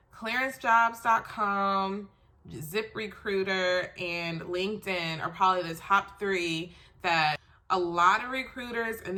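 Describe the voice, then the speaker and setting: A woman's voice: medium pitch, bright, forceful tone. A congested woman with a stuffy, nasal voice from a cold, giving casual career tips in a chatty, emphatic way. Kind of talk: casual how-to advice video.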